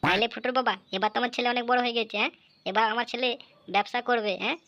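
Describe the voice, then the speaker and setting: A woman's voice: a little high, steady tone, racing, fairly bright tone, lightly acted. A woman playing a fussing, inquisitive village mother and housewife in a comic cartoon. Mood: delighted. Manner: chatty, inquisitive, exclaiming. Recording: dull lo-fi audio with some noise.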